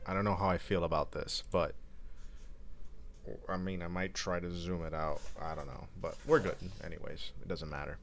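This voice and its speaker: A man's voice: low voice, steady tone, moderate pace, creaky, slight background noise. A man hosting a live stream radio show, chatting casually and unscripted, thinking aloud in a rambling, self-deprecating way.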